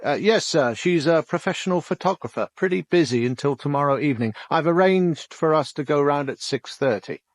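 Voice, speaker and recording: An actor playing a down-to-earth, sympathetic police detective with a British accent, reporting in plain colloquial speech in a radio drama. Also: male voice, fairly high pitch, lively intonation, brisk, hardly any pauses, no room sound, natural voice. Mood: frank, sympathetic.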